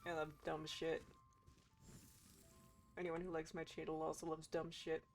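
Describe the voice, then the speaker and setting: A woman's voice: sits low, monotone, unhurried, soft background music. A woman doing casual, joking, foul-mouthed commentary as a game streamer.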